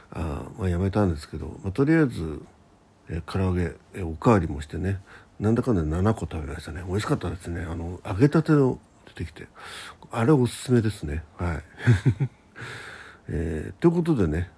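A man's voice: low voice, vocal fry, warm tone, breathy edge. A man hosting a casual solo radio talk in a rambling, conversational manner. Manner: rambling, conversational, acts out quoted exchanges.

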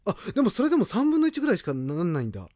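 A man's voice: high-pitched, wildly swooping pitch, very fast, darkish tone, dry recording, clean studio sound. A man chatting casually as a podcast host, talkative and emphatic, readily repeating himself in agreement. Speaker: podcast host and radio drama writer. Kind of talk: casual chat.

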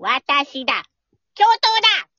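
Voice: high-pitched, big pitch swings, takes it slowly, sharp tone, no room sound, dull audio, exaggerated voice. An amateur radio-drama performer playing an old male vice principal, pompous and then whiny and childishly stubborn, with old-man speech. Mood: pompous, stern.

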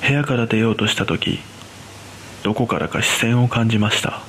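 A man playing an uneasy cleaner, narrating a scripted first-person horror story in a measured, hushed way. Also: lowish voice, slight background noise. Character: uneasy male trauma-scene cleaner recounting an eerie experience.

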